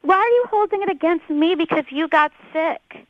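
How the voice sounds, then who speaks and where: A woman's voice: very high voice, expressive, moderate pace, dry recording. A woman calling in to a radio show, earnest and coaxing as she pleads her case over the phone. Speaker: radio call-in guest. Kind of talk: pleading personal conversation. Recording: dull band-limited phone call on radio.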